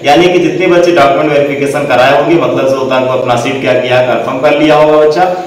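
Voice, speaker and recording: A young man with a mid-pitched voice explains a website in the way of a tutorial, repeating his stock filler phrases and speaking to the viewers as students. He sits away from the mic, and the small room gives his voice a strong echo. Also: fairly even intonation, fast, distant mic. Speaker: education channel host. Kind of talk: screen-recorded tutorial.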